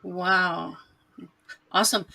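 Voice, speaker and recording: Bright, encouraging woman host. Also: lowish voice, steady tone, takes it slowly, vocal fry. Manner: encouraging, with frequent backchannel murmurs. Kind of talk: livestream conversation.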